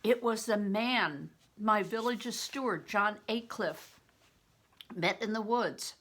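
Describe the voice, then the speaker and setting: A woman's voice: mid-range voice, slow, fairly bright tone. An older woman voicing an anxious, earnest orphan boy in a storybook, urgent and questioning. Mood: worried.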